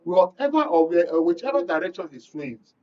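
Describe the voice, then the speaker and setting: A Nigerian man hosting a political discussion, making emphatic, deliberate points and probing questions. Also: high voice, very animated, darkish tone. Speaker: talk show host. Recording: muffled, distant-sounding studio talk.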